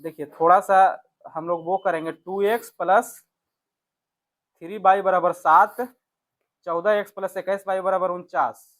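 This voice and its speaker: A man's voice: high voice, fairly even intonation, measured pace, pauses often, fairly bright tone. A man teaching exam maths, explaining step by step and often checking that listeners follow. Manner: instructional, repeatedly checks with listeners using short tag questions. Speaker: math teacher. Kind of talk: exam lesson, explaining worked questions to viewers.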